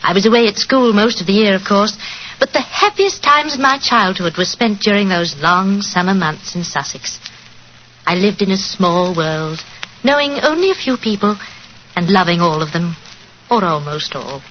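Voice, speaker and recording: A woman's voice: mid-range voice, animated, normal speed, lightly acted. A woman playing a gracious, modest Englishwoman in a 1940s radio drama, with a British accent and genteel manner. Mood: fond, reminiscing. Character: gracious, modest grown Englishwoman recalling her childhood. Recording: muffled, noisy 1940s radio recording.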